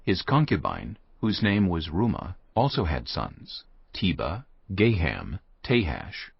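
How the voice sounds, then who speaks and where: A man narrating a dramatized Bible as a scripted story, solemn and clearly phrased. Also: low-pitched, huge pitch swings, slow, dry recording, dull audio.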